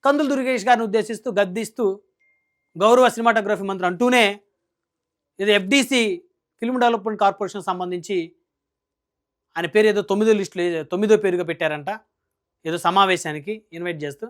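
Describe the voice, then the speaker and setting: A man delivers political news commentary at a rapid clip. He is emphatic and scolding. He often presses his point with rhetorical questions. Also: high-pitched.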